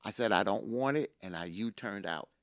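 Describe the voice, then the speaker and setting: A man's voice: lowish voice, normal speed, no room sound, clean studio sound. A middle-aged man co-hosting a casual chat, telling a personal story with energy and repeating lines for emphasis.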